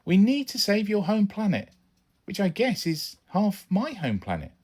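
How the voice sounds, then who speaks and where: Man putting on a high-pitched earnest boy. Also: wildly swooping pitch, unhurried, a little mellow, heavily acted. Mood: protesting. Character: earnest, timid young boy stowaway.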